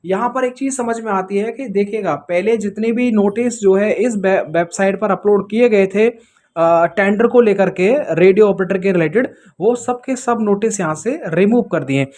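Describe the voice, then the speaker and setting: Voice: medium pitch, normal speed, a little mellow. An exam-prep presenter explaining things informally to students in a didactic way, checking in with rhetorical questions.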